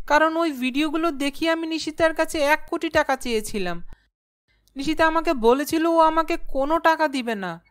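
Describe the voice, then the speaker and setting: A woman's voice: high voice, lightly acted. A woman voicing a guilty, cowardly young male blackmailer in a horror cartoon, with a pleading manner. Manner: pleading, stammering when frightened. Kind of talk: dramatic horror cartoon acting.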